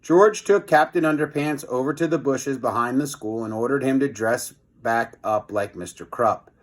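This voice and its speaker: A man reading a children's book aloud in an expressive storytelling style, with chatty, casual asides. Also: medium pitch, normal speed. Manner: expressive, chatty commentary between passages.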